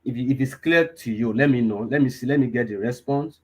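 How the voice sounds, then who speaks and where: A man's voice: medium pitch, brisk, slightly rough. A man, teaching a live online data class, repeating himself and often checking whether listeners follow. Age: 20s. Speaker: data analysis instructor.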